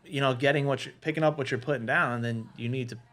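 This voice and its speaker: A man's voice: medium pitch, steady tone, fast, fairly bright tone. A man talking casually as a podcast panelist, laying out practical management advice point by point in a conversational, explanatory way.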